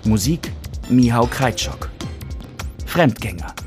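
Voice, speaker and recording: A man's voice: mid-range voice, lively intonation, slow pace, a little mellow. A man reading a scripted podcast outro and credits as an announcer. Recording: clear music under the voice.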